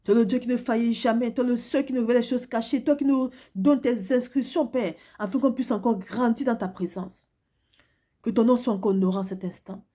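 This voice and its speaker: A woman's voice: fairly high pitch, steady tone, brisk, mellow. A woman preacher giving a devotional sermon and testimony while congested with a cold, earnest and repetitive, often restarting her phrases. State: has a cold and flu, congested. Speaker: preacher, self-described prophetess.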